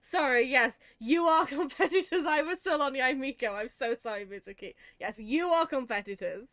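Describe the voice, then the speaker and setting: A woman's voice: sits high, moderate pace, a bit bright, dry recording. A woman doing casual reaction commentary over a video game, with dry, sarcastic, giggly humour. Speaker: gaming video host, psychologist.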